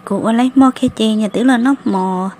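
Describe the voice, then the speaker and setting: An actress voicing a woman in an animated family drama, in an amateur cartoon style. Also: on the high side, normal speed, slight background noise, natural voice. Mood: conversational.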